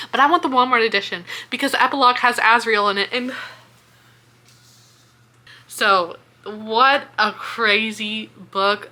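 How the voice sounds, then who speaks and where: An American woman chatting casually as a book vlogger, excitable, dramatic and freely swearing. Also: fairly high pitch. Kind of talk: casual reading reaction chat.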